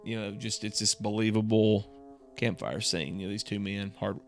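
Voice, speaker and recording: A man's voice: fairly low pitch, fairly even intonation, moderate pace, faint music behind. A man co-hosting a podcast, talking in a casual, rambling, conversational way. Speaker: podcast co-host. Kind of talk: casual chat.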